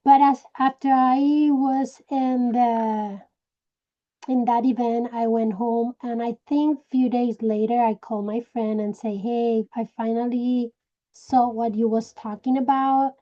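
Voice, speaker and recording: A woman from Puerto Rico with a Puerto Rican Spanish accent, Spanish her first language, telling her personal story slowly and searching for words. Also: a little high, flat delivery, dull audio. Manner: slow, searching for words, many restarts. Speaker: direct sales director. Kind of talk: personal story told to a group.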